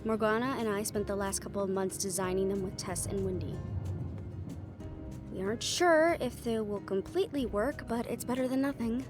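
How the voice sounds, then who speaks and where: A woman's voice: medium pitch, normal speed, close to an everyday voice. A woman playing a witty, confident young female adventurer in a playful, conversational audio roleplay. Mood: earnest. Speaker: amateur voice actor. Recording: music behind the voice.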